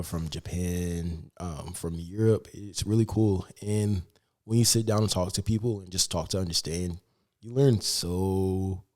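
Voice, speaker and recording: A young American man co-hosting a podcast, talking in a relaxed, chatty way with many fillers. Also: sits low. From United States. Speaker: podcast co-host. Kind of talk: casual podcast chat.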